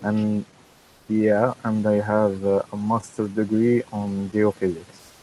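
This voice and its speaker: A male student from Algeria introducing himself haltingly, with many pauses. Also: on the low side, level tone, mellow, slight background noise, rough recording.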